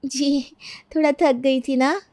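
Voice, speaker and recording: A woman's voice: high-pitched, fairly even intonation, slow pace, darkish tone, no echo, studio quality, pitched above the performer's own voice. A woman voicing a meek, put-upon young housemaid who speaks timidly and deferentially in a cartoon story. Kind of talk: Hindi cartoon story character dialogue.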